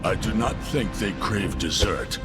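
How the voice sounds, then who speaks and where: An actor playing a menacing, imperious alien warlord in a video game, speaking with pompous, formal diction. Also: male voice, on the low side, measured pace, lightly acted. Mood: sinister, gloating. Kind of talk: video game villain voice acting. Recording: loud music behind the voice.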